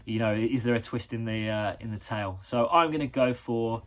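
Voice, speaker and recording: A man in his thirties from England, a guest giving football predictions in a measured, slightly hesitant way. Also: medium pitch.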